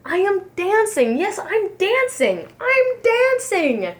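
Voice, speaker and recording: A woman's voice: very high voice, big pitch swings, slow pace, voice pushed up, full character voice. A woman voicing a shy, clumsy young male giraffe in a storybook, earnest and exclamatory. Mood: overjoyed.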